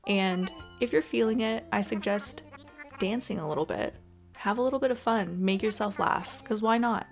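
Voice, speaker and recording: A woman provides casual commentary as the host of a gaming video. She speaks in a friendly, conversational way, addressing her viewers directly. Her voice sits at an ordinary pitch for a woman, with light music playing behind it. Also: moderate pace, gravelly. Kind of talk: casual chatty game commentary.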